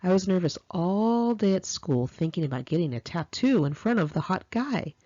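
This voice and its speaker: An American woman reading her own memoir aloud in an expressive, confiding, conversational storytelling style, with girlish slang. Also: on the low side, moderate pace, dry recording, highs cut off. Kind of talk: first-person audiobook narration, conversational and dramatic.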